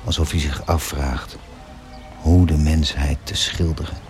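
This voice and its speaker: An actor playing a melancholy hotel owner in a radio drama, looking back after the war in wistful, measured narration. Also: male voice, a bass voice, steady tone, darkish tone. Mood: wistful, brooding. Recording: music under the voice.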